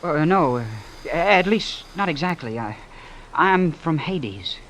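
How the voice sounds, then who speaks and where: A man's voice: fairly high pitch, expressive, slow, fairly bright tone, slight background noise. An actor playing an earnest, bashful small-town young man, hesitant and stammering, in old-time radio drama style.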